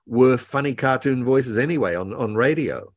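An older man from Australia chatting casually as an interview guest, explaining things in a halting, rambling way. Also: medium pitch, expressive, dry recording, studio quality. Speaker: author and voice actor, guest. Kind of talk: casual interview chat, reminiscing. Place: home room, indoors, on a video call. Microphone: computer mic on a video call.

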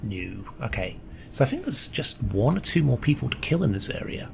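A man's voice: mid-range voice, sing-song, moderate pace, creaky, a little mellow, slight background noise. A man, chatting casually while playing a game and losing his voice. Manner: chatty, reads notes aloud. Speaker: gamer commentator. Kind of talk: casual let's play commentary. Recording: gameplay video with game music.

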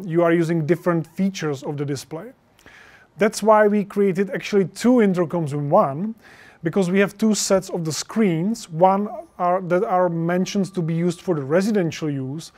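Male presenter, 30s, slightly high voice, explanatory product talk with non-native phrasing. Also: a little mellow. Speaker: product presenter for an intercom maker. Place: indoor studio stage with projection screen. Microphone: lapel mic.

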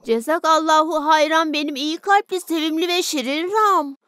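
A performer voicing a bossy, impatient young schoolboy, an older brother, in an animated children's cartoon. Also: high-pitched, normal speed, no room sound, clean studio sound. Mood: sheepish, affectionate. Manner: exasperated, commanding, sighing.

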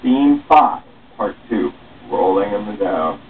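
A young man giving an animated amateur dramatic reading of his own story, with dialogue, in a small room. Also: fairly low pitch, takes it slowly. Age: young adult. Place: small cluttered room indoors. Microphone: distant camera microphone. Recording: muffled phone-like webcam, distant mic, faint hiss.

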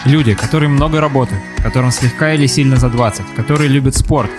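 A man's voice: medium pitch, quick pace. A man in his twenties reading a scripted podcast trailer as one of its co-hosts. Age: 20s. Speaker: podcast co-host. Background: guitar music.

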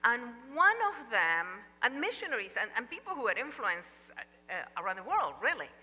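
An older woman with an Argentine Spanish accent gives a lively chapel talk, telling a family story with humorous asides. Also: a little high, normal speed, slightly rough. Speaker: chapel speaker. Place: large church sanctuary stage. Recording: long reverb of a large hall.

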